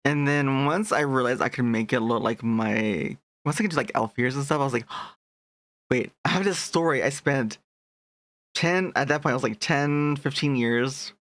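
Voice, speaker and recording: A man's voice: medium pitch, normal speed, a bit bright, no echo. A young man chatting casually on a live stream, rambling and restarting his sentences as he greets his chat.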